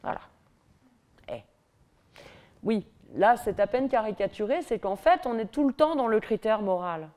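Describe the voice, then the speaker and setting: A middle-aged woman with a Parisian accent giving a university lecture from a lectern in a large hall, lively and ironic, with mocking asides. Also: medium pitch, a little slow, pauses often. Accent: Parisian French.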